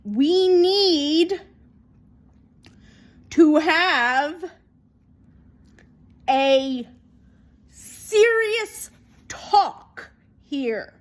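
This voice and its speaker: A woman giving an unscripted opinion monologue in an emphatic, repetitive, halting way. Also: very high voice, expressive. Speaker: video commentator.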